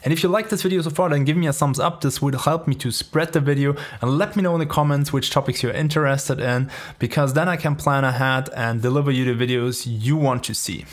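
A man in his thirties with a German accent, speaking at a middling pitch. He chats loosely through a home-studio tutorial and keeps padding his sentences with fillers. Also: fairly quick, hardly any pauses. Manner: chatty, rambling, lots of "like" fillers. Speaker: music production tutor. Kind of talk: casual screen-recorded tutorial. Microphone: studio microphone near the face.

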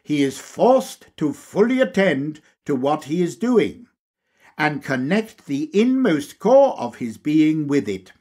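A man reading a lecture aloud as scripted narration, in a measured, deliberate, explanatory manner. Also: a little high, breathy edge. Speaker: audiobook reader.

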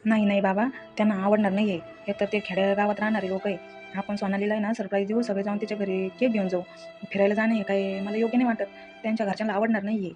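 A woman's voice: mid-range voice, little pitch movement, fast talker, a little mellow, soft background music, close to an everyday voice. A woman playing an eager, insistent young man in a family comedy drama, speaking with a Maharashtrian Marathi accent. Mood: explaining. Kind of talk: acted family comedy dialogue.

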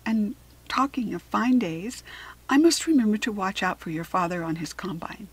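A woman's voice: mid-range voice, animated, normal speed, slightly rough. A woman voicing a polite, chatty, slightly superior talking hare from another world in a children's storybook, articulate and formal. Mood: friendly. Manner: articulate, formal, self-assured. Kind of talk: children's storybook character reading.

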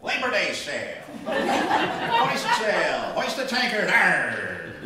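A man's voice: sits high, wildly swooping pitch, drawn-out words, very noisy, exaggerated voice. Man playing a hearty, drunken pirate captain, echoey room. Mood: rowdy, drunk. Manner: hearty pirate talk with growled arrs. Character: drunken, boastful, silly old-time pirate captain. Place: small library room before a live audience. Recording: echoey room, far from the mic.

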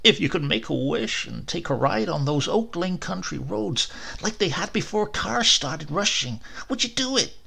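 A man's voice: high-pitched, very animated, moderate pace, creaky, bright, forceful tone, breathy edge, voice pushed up, exaggerated voice. A man voicing a mild-mannered, nostalgic storybook husband who speaks in a wistful, coaxing, hesitant way.

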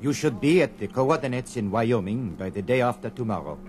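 An actor playing the thoughtful leader of a secret research team in a dramatised story record. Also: male voice, mid-range voice, lively intonation, a little fast, a little mellow, natural voice. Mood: serious, probing.

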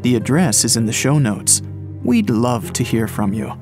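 A man's voice: mid-range voice, lively intonation, normal speed. A man hosting an audio drama podcast, reading scripted credits. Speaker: podcast host. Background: music.

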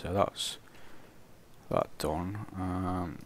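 A man talks his way through a building game, thinking aloud and laughing at his own slip-ups. His voice sits a little low and is rough and gravelly, hoarse from a cold. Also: fairly even intonation, extremely slow, a little hiss or hum. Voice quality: croaky, gravelly. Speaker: let's play host. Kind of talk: casual gameplay commentary.

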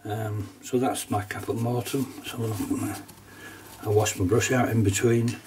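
A middle-aged man with a cold, sounding nasal, casually talking through a watercolour painting demonstration at his desk, relaxed and chatty with small laughs. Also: on the low side, a little slow, far from the mic, background noise. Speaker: watercolour teacher. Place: painting desk at home, indoors.

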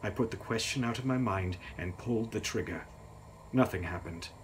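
A man's voice: on the low side, moderate pace, a little hiss or hum, poor audio. A young man reading a gothic novel aloud in a grave, theatrical, dramatic style. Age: young adult. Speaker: author reading his novel.

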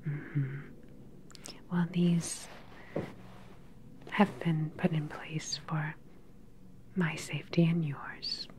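A woman playing a calm, sinister cyborg scientist in an ASMR roleplay, speaking close to the mic in a slow, deliberate, soothing and clinical way. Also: low voice, noisy background. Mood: calm, controlling. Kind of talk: ASMR soft-spoken whispered roleplay. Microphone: close ASMR microphone.

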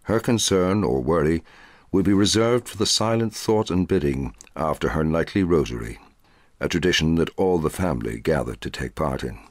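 A middle-aged man reading a short story aloud in a measured, dramatic way, with a husky voice. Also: rumbling low, a little mellow, no echo, clean studio sound. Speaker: audiobook narrator.

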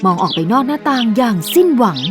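A woman's voice: a little high, animated, fast, faint music behind. A woman giving scripted narration of a fairy tale for children, as a storyteller.

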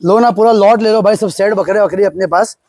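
High-voiced man selling goats outdoors. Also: fairly even intonation, fairly quick, darkish tone, no room sound, clean studio sound. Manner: pointing out features, eager selling. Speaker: goat farm seller. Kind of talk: casual sales walkthrough. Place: outdoor goat pen on a farm.

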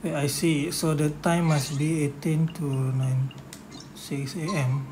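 A woman's voice: sits low, steady tone, unhurried. A woman, casually commenting on a game in short muttered phrases, on a noisy recording. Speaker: gamer doing a walkthrough. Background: game sounds.

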